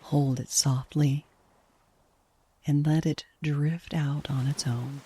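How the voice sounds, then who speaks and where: A woman with a soft, breathy, hushed voice gently and soothingly narrating a guided sleep meditation, unhurried throughout. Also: sits low, steady tone.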